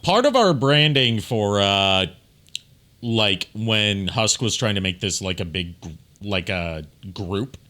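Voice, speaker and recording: A man's voice: on the low side, animated, slow pace, sharp tone. A man giving casual, chatty commentary as a streamer, often explaining things in asides. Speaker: streamer and voice actor commenting on a fan dub he was in.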